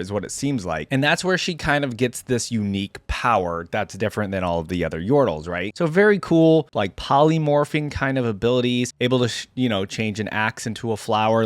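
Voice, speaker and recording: A man's voice: mid-range voice, dry recording, clean studio sound. A man hosting a reaction video, chatting casually and reading game text aloud as he comments.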